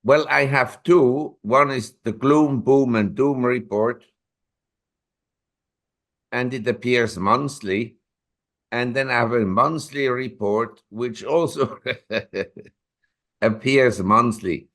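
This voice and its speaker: A man's voice: medium pitch, slow pace, pauses often, a bit bright. A man in his seventies, a newsletter publisher and interview guest, telling long rambling anecdotes with self-corrections. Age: 70s.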